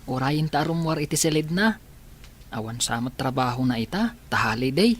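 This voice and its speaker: A woman's voice: a bass voice, lively intonation, moderate pace. A woman with a Filipino accent playing a cheeky, affectionate young village boy in a radio comedy drama. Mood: thoughtful.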